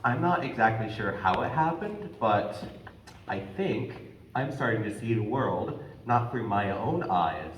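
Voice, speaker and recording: A man's voice: lowish voice, lively intonation, unhurried, a little hiss or hum. An actor playing Adam, the naive first man, speaking whimsically and singing with wonder in a stage musical. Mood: wistful. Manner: whimsical, wistful, sings with wonder. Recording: roomy, distant stage sound with orchestra.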